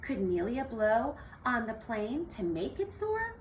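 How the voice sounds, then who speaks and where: A woman teacher reading a children's picture book aloud in an expressive, theatrical storytelling manner. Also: a little high, takes it slowly. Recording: distant mic with faint noise.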